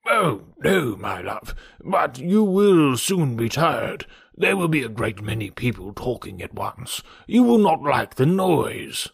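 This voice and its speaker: A man voicing a gentle, fretful old gentleman, an anxious father in a classic novel, with a fussy, mournful, deliberate manner. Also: mid-range voice, sing-song, gravelly, husky, no echo, studio quality, heavily acted. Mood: mild worry. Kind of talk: audiobook character voice from a classic novel.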